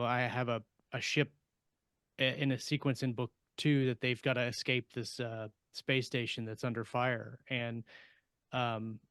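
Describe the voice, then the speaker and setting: A man's voice: medium pitch, fairly bright tone, smooth, dry recording. A man co-hosting a writers' podcast, thinking aloud in a casual panel discussion.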